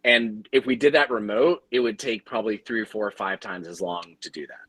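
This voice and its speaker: A man's voice: on the low side, brisk, a bit bright, husky. A man explaining things in businesslike detail in a conversational panel discussion. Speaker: audio drama producer on a panel.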